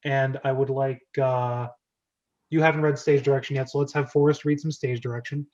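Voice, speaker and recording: A man's voice: medium pitch, flat delivery, fairly quick, darkish tone. A man casually hosting a script read-through and organising the readers, on a slightly dull recording. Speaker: host of script reading.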